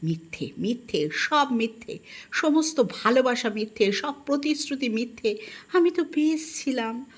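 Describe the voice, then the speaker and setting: A woman reads a first-person literary story in an expressive, melancholic and dramatic manner. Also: fairly high pitch, unhurried. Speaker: audiobook narrator.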